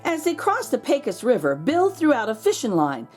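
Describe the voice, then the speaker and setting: Animated woman reading a children's storybook aloud. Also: a little high, faint music behind. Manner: animated, drawn-out emphasis on key words. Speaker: children's book read-aloud host. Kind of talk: expressive storybook read-aloud.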